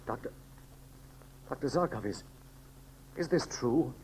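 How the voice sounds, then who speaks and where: A noisy 1930s radio serial recording of a man acting as a noble young hero who has become an emperor. He speaks earnestly and haltingly, with melodramatic anguish, in a mid-pitched voice. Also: animated, a little mellow, natural voice. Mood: grieving, halting.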